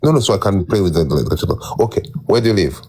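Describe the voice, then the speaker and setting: Teasing low-voiced man on a livestream. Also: animated, quick pace, darkish tone, a little hiss or hum. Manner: teasing, switches between languages. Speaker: livestream host. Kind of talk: casual livestream banter.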